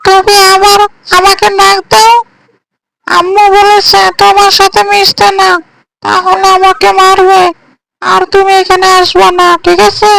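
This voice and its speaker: A man's voice: very high-pitched, monotone, measured pace, vocal fry, bright, forceful tone, slight background noise, voice pushed up, exaggerated voice, poor audio. A man voicing a caring but bossy village woman in an amateur cartoon. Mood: reluctant.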